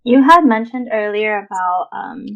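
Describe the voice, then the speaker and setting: A woman's voice: mid-range voice, a little slow, vocal fry, narrow, tinny audio. A woman hosting a podcast, asking questions in a conversational interviewing style.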